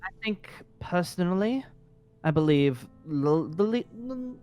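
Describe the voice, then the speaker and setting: A man's voice: sits high, animated, a little slow, darkish tone, clean tone, soft background music. Man playing a haughty, swooping wizard leader. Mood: businesslike. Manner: affected formal flourishes, sighing sarcasm. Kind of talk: improvised fantasy roleplay acting.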